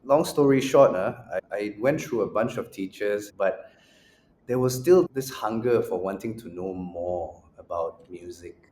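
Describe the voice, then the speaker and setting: A low-voiced man talks things through calmly, setting out his points one by one. His voice rings in a roomy space, with a faint hiss of background noise under it. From Malaysia. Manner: measured and reflective, lays out points in order. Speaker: guest, music school founder. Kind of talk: casual interview conversation.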